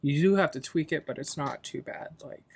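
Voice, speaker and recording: A man hosting a software tutorial in a casual, loosely explanatory, informal way. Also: fairly high pitch, brisk, vocal fry, husky. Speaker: tutorial host.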